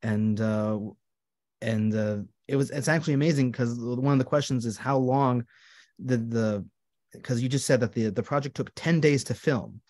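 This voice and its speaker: A man's voice: medium pitch, normal speed, a little mellow. A man, a rabbi, moderating a post-film Q&A and welcoming guests with repeated phrases.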